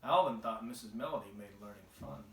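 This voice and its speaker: A man's voice: lowish voice, animated, fairly quick, slight background noise. A man reading a children's storybook aloud as the narrator. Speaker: storybook reader. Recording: echoey, roomy home recording.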